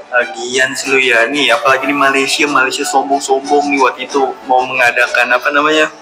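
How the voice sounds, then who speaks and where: Boastful man over clear music. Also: mid-range voice, little pitch movement, brisk, low-quality recording. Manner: slangy, teasing, boastful. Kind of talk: casual football fan chat.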